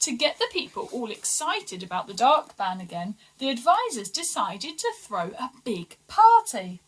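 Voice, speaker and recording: A woman reading a children's storybook aloud with expressive, deliberate, dramatic emphasis. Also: fairly high pitch, breathy edge, rough recording. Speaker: story reader for schoolchildren.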